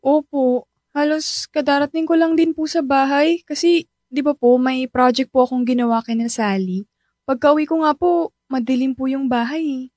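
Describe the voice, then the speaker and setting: A woman's voice: high voice, fairly even intonation, normal speed, darkish tone, no room sound, clean studio sound, lightly acted. A woman voicing a polite, studious teenage child in a radio drama who talks respectfully to a parent.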